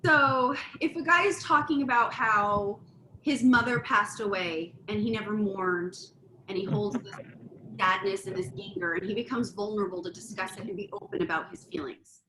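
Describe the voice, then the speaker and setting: A woman's voice: medium pitch, normal speed, noisy background. A middle-aged woman co-hosting a relationship talk show, earnest and explaining her point at length with examples. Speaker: podcast co-host. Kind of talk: casual chat. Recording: echoey room, faint ambience.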